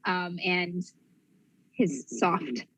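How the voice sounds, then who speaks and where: A woman's voice: lowish voice, drawn-out words, a bit bright, slightly rough, dry recording, low-quality recording. A woman chatting casually and expressively as a player on a tabletop game show. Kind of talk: casual chat about a game character.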